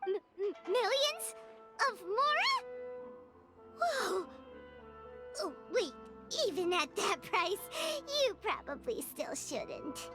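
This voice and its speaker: A woman voicing a tiny excitable female companion creature in video game dialogue, chattering in quick exclamations. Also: very high-pitched, full character voice. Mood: excited. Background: game music.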